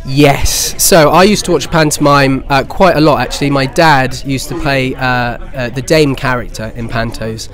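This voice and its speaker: Medium-pitched young English man. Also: fairly even intonation, moderate pace, few pauses, a bit bright. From Bournemouth, England. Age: 20s. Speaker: pantomime actor. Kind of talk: casual interview answers.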